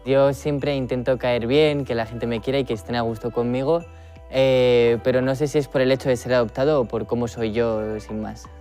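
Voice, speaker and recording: A man's voice: medium pitch, steady tone, moderate pace, a little mellow, faint music behind. A man adopted from Russia speaking thoughtfully and a little hesitantly in a documentary interview.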